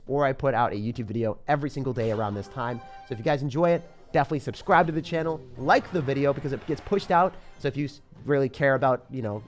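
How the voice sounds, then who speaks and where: Young guy streaming a game, voice swings up and down a lot, pretty high, faint music behind. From Boston area, United States. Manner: excitable, laughs often, reads chat questions aloud. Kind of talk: live-stream gameplay commentary.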